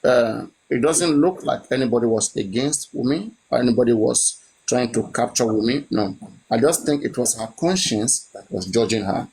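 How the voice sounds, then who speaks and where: A man's voice: mid-range voice, moderate pace, darkish tone, low-quality recording. A man, giving his opinion in a chat and pressing his points with repeated rhetorical questions.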